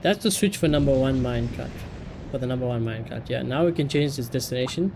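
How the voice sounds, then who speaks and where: A man's voice: mid-range voice, fast talker, background noise. A man streaming a video game live, chatting casually and giving blunt opinions with plenty of swearing. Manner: sweary, opinionated, repeats phrases. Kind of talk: casual live gaming commentary and chat. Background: game music.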